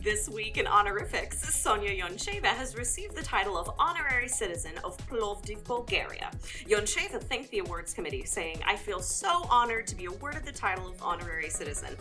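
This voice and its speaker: A woman with a bright, forward voice speaks as a podcast co-host. She is animated and conversational, moving between casual panel chat and reading news items, with a self-deprecating streak. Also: medium pitch, brisk, faint music behind.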